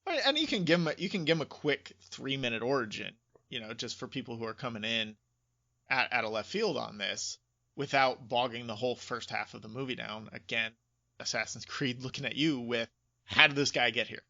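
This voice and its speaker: A man's voice: medium pitch, animated, fairly quick, sharp tone, lo-fi sound. A man chatting casually and emphatically as a podcast co-host, explaining his opinions.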